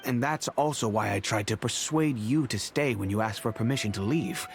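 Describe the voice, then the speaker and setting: An actor playing a fatherly middle-aged merchant who speaks in a measured, reflective, remorseful way, over faint music. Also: lowish voice, a little hiss or hum, natural voice. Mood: thoughtful. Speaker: wealthy patron and father figure. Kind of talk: video game voice acting. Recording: game audio with faint music.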